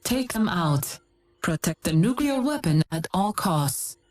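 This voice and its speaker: An actress playing a female adviser giving a brisk mission briefing. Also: fairly low pitch, very animated, darkish tone, faint music behind.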